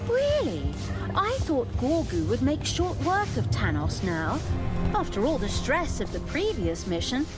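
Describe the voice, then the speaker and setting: The wicked, sneering dark elf who slowly orders minions about in a fantasy strategy game, voice swooping over the soundtrack. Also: female voice, high voice, huge pitch swings, exaggerated voice. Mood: sarcastic, surprised. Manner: sarcastic, taunting, theatrical. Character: gleefully wicked, sarcastic female dark elf commander. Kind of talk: video game character acting. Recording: game music behind the voice. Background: game music.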